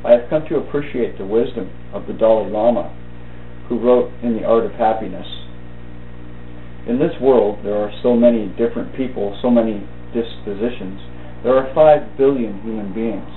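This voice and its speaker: Male reader for a reflective essay, lowish pitch, measured halting phrasing, thin phone-like sound. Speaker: reader of a thought-for-the-day video. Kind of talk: read-aloud personal essay, meditation. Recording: tinny band-limited audio, roomy echo, faint hum.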